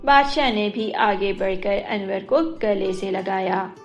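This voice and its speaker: A woman's voice: medium pitch, takes it slowly, muffled recording. A woman storyteller reading a scripted moral tale as narration. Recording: roomy echo, music underneath. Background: soft music.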